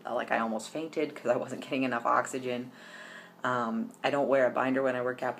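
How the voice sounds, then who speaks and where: A man's voice: medium pitch, vocal fry, a bit bright, a little hiss or hum. A young man chatting casually to camera in a personal vlog, rambling with lots of ums and restarts. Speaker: vlogger.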